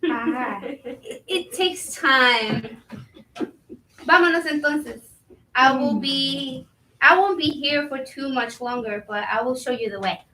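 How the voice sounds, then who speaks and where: A woman's voice: sits high, slow pace, long pauses, bright, forceful tone, slight background noise, exaggerated voice. A woman playing a polite, dutiful immigrant kitchen cook in a staged reading, with a Latin American Spanish accent. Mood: businesslike. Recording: roomy, distant microphone.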